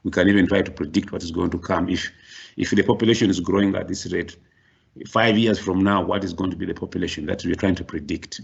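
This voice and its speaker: A man's voice: deep voice, fairly even intonation, quick pace, gravelly, husky, lo-fi sound. A middle-aged man giving a university workshop lecture, explaining step by step with frequent restarts and sudden rising stresses on key words.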